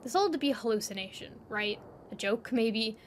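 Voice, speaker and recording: A woman's voice: fairly high pitch, animated, brisk, a little hiss or hum. A woman reading scripted second-person narration for an audio drama, over ambient sound.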